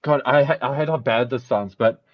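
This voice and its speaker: A man hosting a podcast, talking in a loose, rambling way that keeps circling back on itself. His voice sits at an ordinary pitch. The sound is a little muffled and very dry. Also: brisk, no room sound. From South Africa. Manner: rambling, self-correcting, laughs often. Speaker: podcast host. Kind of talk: casual podcast chat.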